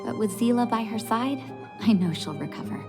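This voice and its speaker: An actress voicing a calm, caring leader of an underground resistance in a video game, measured and gracious. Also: female voice, on the high side, creaky. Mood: reassuring, caring. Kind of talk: video game cutscene dialogue. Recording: music playing behind the voice.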